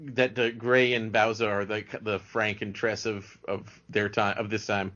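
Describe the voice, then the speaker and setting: A man's voice: lowish voice, flat delivery, a little slow, fairly bright tone. A man speaking as a podcast guest in casual, knowledgeable fan chat. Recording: dull lo-fi voice recording.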